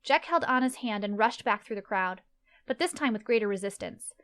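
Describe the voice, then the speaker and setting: A woman's voice: fairly high pitch, lively intonation, brisk, a bit bright. A woman reading scripted narration for a fantasy story as its narrator.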